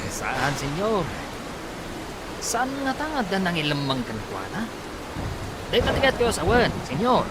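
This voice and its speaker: Anxious underling, swooping pitch. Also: male voice, very animated, moderate pace, pauses often, very noisy. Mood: anxious, pleading. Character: deferential, anxious underling answering his master. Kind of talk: Philippine radio drama acting.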